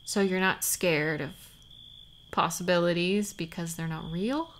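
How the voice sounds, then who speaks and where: A woman acting as a tender, worried lover of a warrior in an intimate audio roleplay, speaking softly and haltingly, sometimes close to a whisper. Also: fairly low pitch, steady tone, lightly acted. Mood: pensive, questioning. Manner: soft, halting, sighing, sometimes near whisper.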